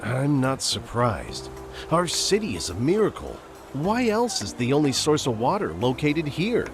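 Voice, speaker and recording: Animated gossiping townsman played by a man. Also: mid-range voice, unhurried, over music. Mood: animated, matter-of-fact. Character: gossiping city townsman at the stables.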